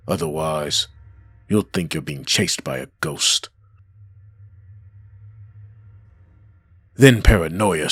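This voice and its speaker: Wrathful undead male demon, very low, raspy, blunt, menacing, dry recording, comic dub. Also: pushed and bright, dry recording, exaggerated voice. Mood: grim, brooding. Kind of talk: dramatic comic dub acting.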